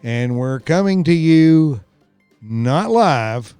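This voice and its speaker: A man's voice: fairly high pitch, animated, very slow, mellow, smooth, dry recording, faint music behind. Laid-back man in his sixties. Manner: easygoing storyteller. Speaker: podcast co-host. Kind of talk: rambling casual chat. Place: outdoors on a lakeside tower.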